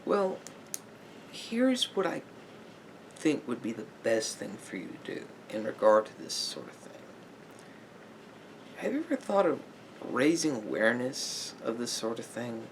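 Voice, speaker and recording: A man playing a sympathetic therapist in an ASMR roleplay, giving gentle advice in a halting, repetitive, hedging way. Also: medium pitch, very animated, a little hiss or hum, rough recording. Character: calm, sympathetic male therapist counselling a client.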